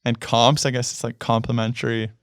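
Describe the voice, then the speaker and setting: Smooth-voiced Canadian man, loose and rambling. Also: mid-range voice, flat delivery, brisk, no echo, studio quality. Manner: loose and rambling, heavy on fillers, laughs easily. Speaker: guest musician. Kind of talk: casual interview chat. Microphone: studio microphone.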